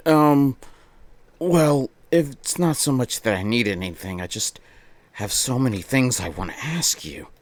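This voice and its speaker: A man livestreaming as he reads a visual novel aloud, mixing theatrical narration with playful, excitable banter in a close, dry-sounding setup. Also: medium pitch, unhurried.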